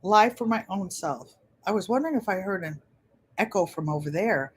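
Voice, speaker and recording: Gentle-voiced woman in her seventies. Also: mid-range voice, expressive, normal speed, a little hiss or hum. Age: 70s. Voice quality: gentle, pleasant voice. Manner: chatty, rambling, repeats phrases. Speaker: channel host. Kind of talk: casual livestream chat.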